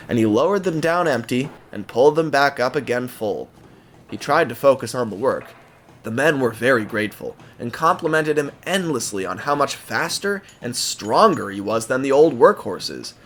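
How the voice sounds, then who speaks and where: A man's voice: medium pitch, fairly bright tone, a little hiss or hum. A man narrating an audio drama as scripted storytelling.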